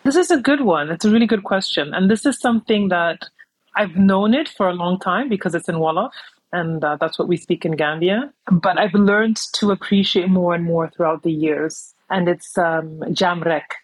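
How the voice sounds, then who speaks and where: A woman's voice: lowish voice, moderate pace, dry recording, rough recording. A woman from New York City, a podcast guest chatting casually and rambling easily with lots of fillers. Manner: easygoing, rambling, many "you know" fillers. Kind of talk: casual interview chat.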